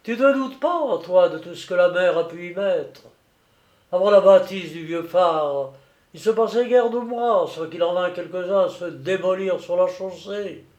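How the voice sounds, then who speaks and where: A male narrator acts out a jovial, drunken lighthouse keeper from a storybook. He is loud, boastful and coarse, bursting into exclamations at a raised pitch. The room rings slightly around him. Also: a little slow, echoey room. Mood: boastful, excited. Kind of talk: dramatised audiobook storytelling.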